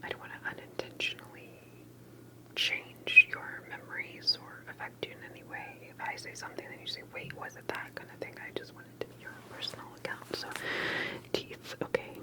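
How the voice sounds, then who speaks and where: A woman's voice: brisk, low-quality recording. Woman whispering an ASMR interview roleplay. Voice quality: soft breathy whisper. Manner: gentle, careful questioning with murmured confirmations.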